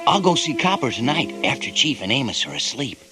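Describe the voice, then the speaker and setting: An actor voicing an earnest young adult male fox in an animated film. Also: medium pitch, a little fast, over music. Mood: hushed, hopeful. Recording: night crickets and frogs behind voice.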